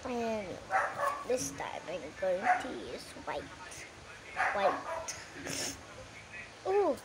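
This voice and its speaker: A young woman casually commenting while playing a game, soft and hesitant, with many fillers. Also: mid-range voice, sing-song, drawn-out words. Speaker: gamer. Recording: loud background noise.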